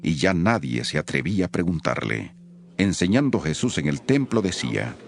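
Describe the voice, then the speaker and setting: A man's voice: low-pitched, sing-song, moderate pace, gravelly, faint music behind. A man narrating scripture from a script as the storyteller of an audio production.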